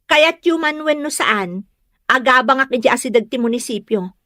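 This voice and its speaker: Woman acting an earnest young village woman, highish voice. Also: lively intonation, normal speed, pushed and bright, no echo, studio quality. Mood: hurt, defiant. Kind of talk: Ilocano audio drama acting.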